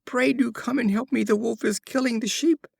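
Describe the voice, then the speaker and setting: A frightened young shepherd boy from a fable, acted by a man. He calls out urgently in a raised, high voice. The recording is close and dry. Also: fairly even intonation, moderate pace, vocal fry, a little mellow, dry recording, exaggerated voice. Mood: terrified.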